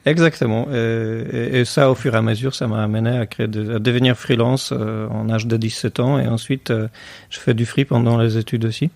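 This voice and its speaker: A man's voice: mid-range voice, steady tone, fairly quick, creaky, a little mellow, no room sound. A man, a podcast guest talking casually and hesitantly with many fillers. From Poland. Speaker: guest, tech company cofounder.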